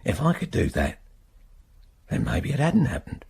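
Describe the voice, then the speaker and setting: An actor playing a haunted, middle-aged working-class man with an English accent and a husky, halting delivery in a naturalistic radio drama. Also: mid-range voice, wildly swooping pitch, darkish tone, full character voice. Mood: anguished, helpless. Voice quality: husky, gravelly. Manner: halting, plain-spoken, working-class idiom.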